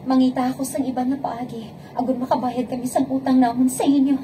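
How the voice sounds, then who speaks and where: A woman's voice: high voice, little pitch movement, quick pace, noisy background, natural voice. A woman playing an earnest, principled young bride-to-be in a radio romance drama, with a Hiligaynon Filipino accent. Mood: earnest, calm.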